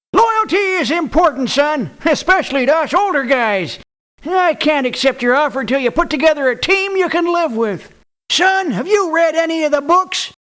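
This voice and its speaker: A man's voice: very high voice, fairly bright tone, exaggerated voice. A man voicing a crusty, condescending old war veteran mercenary in a video game, with a folksy, patronizing delivery. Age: older. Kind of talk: video game character voice lines.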